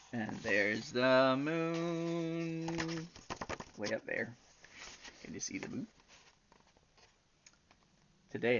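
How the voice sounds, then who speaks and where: A woman's voice: very deep voice, gravelly, highs cut off, slight background noise. A woman reading a children's story aloud expressively, drawing out words with theatrical emphasis. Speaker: storybook reader. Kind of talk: expressive storytelling with chatty asides to young listeners.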